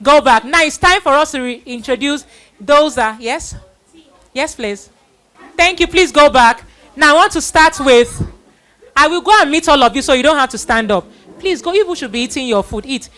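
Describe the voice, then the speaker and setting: High-voiced woman hosting a children's church event. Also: lively intonation, normal speed, fairly bright tone. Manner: exhorting, repeats phrases. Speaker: host of a children's Bible play event. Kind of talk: live event hosting, introductions and thanks.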